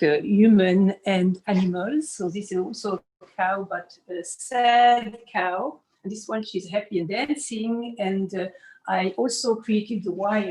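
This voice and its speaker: A woman's voice: medium pitch, darkish tone, poor audio. A woman from Switzerland gives an informal explanatory studio tour as an artist and costume designer, often searching for words and adding "you know".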